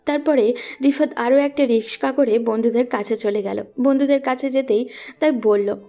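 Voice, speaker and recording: A woman's voice: fairly high pitch, steady tone, moderate pace, mellow. A woman reading scripted narration for a romantic story as its narrator.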